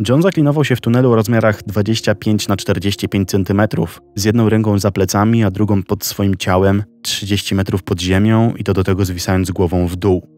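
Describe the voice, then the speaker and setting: A man narrating a documentary story in a slow, measured scripted style. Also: fairly low pitch, steady tone. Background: soft background music.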